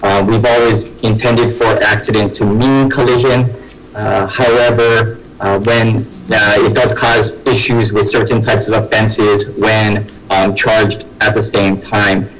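A man gives formal legal testimony over a video call from an office. His voice is low and flat, and he explains hesitantly with many fillers. Faint music sits in the background. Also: measured pace, rough recording. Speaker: deputy prosecuting attorney testifying.

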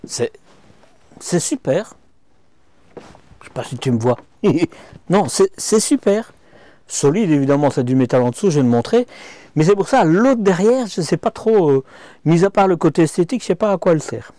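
A man's voice: fairly high pitch, animated, moderate pace, pauses often, mellow. A middle-aged man giving a chatty walkthrough of a camper van's interior, talking straight to the viewer on a clip-on mic. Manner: chatty, addresses viewer directly, frequent hop-hop and hein. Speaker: motorhome reviewer. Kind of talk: casual walkthrough vlog. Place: inside a camper van. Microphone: small clip-on mic.

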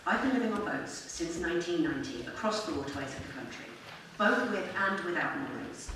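A woman's voice: fairly low pitch, expressive, a little fast. Echoey, distant woman giving a formal address. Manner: earnest, formal. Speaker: member of the public addressing a council. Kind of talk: prepared formal public address. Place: council meeting chamber. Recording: very echoey room, distant mic, faint noise.

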